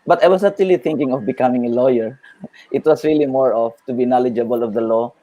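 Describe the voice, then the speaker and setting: A man with a Filipino accent, a priest and new lawyer, answering interview questions in a soft-spoken, reflective way. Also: mid-range voice, fast, no room sound, highs cut off. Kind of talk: conversational interview answers mixing Tagalog and English.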